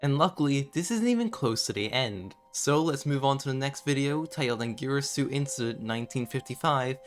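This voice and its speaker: A man reading scripted commentary in a video essay, with measured storytelling broken by conversational asides. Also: medium pitch, lively intonation. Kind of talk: scripted narration, horror series commentary. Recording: background music under voice. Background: background music.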